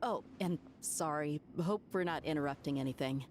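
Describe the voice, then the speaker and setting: A woman's voice: deep voice, normal speed, darkish tone, a little hiss or hum, natural voice. A woman playing a friendly, frank desert mercenary in a video game, casual and straightforward but polite. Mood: friendly, curious.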